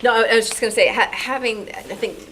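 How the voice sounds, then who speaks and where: A woman's voice: on the high side, fairly even intonation, fast, crowd noise, poor audio. A woman, an actor and former teacher, speaking informally in a group discussion at a club meeting.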